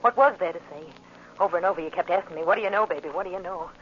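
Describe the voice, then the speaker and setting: A woman's voice: on the low side, very fast, natural voice. An actress playing an intense, guarded young woman, hesitant and brooding, in a 1940s radio drama. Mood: brooding, haunted. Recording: muffled old radio recording, faint noise.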